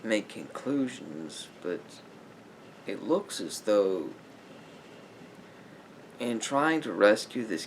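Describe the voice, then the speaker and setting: A man plays a calm therapist in a conversational ASMR roleplay. He talks very slowly and pensively, with heavy vocal fry and frequent pauses. A noticeable low rumble runs under the recording. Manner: halting, pensive, trailing pauses. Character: calm, sympathetic male therapist talking to a client. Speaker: ASMR performer playing a therapist.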